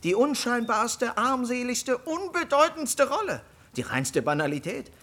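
An actor playing an excitable, easily offended gentleman with a husky voice and dramatic emphasis in a German radio drama. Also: very high voice, measured pace, full character voice. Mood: indignant.

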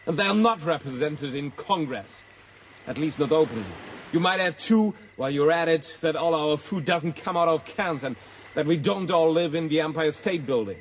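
An actor playing a brash, joking young tourist, breezy and sardonic in radio drama dialogue. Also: male voice, a little high, moderate pace, slight background noise, close to an everyday voice. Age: young adult.

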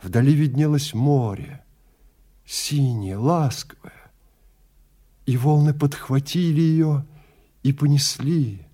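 A man's voice: a little high, slow pace, pauses often, mellow, husky. A man narrating a scripted story in a dramatic style.

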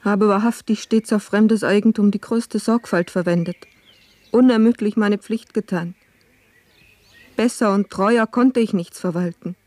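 An actress plays a put-upon, sorrowful housemaid in a radio drama. Her voice is mellow and soft-edged, at a medium female pitch. She speaks earnestly and plaintively, in careful old-fashioned phrases. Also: unhurried, no echo, lightly acted. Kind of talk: German radio play acting.

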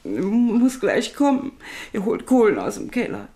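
A woman's voice: medium pitch, wildly swooping pitch, a little slow, creaky, mellow, heavily acted. An actress playing a weary, anxious widowed mother who pleads for her troubled son in a radio crime drama. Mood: weary, worried. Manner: worried, pleading. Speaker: actor.

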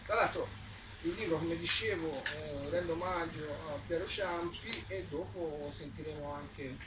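A man's voice: on the high side, a little slow. A man hosting a live event with an informal introduction. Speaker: presenter of a book event. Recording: roomy, distant mic, clear background noise.

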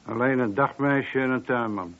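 An actor playing a wry, informal police sergeant in a radio play, talking colloquially with a dry grumble. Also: male voice, medium pitch, moderate pace, natural voice. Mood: wry, informative. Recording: dull, lo-fi old radio recording.

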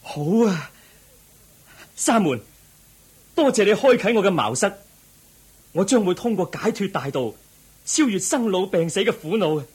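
A man's voice: high-pitched, sing-song, husky, full character voice. A man acting an earnest, troubled young prince in a Cantonese radio drama, full of wondering questions. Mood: resolved. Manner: inquisitive, wondering, drawn-out questioning.

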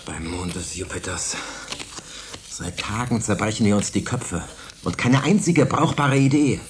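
Impulsive space pilot, swinging low voice. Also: male voice, lively intonation, a little slow, slight background noise. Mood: frustrated, then inspired. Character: Jan, impulsive, optimistic male space pilot plotting an escape. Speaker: radio drama actor. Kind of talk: German science fiction radio play acting.

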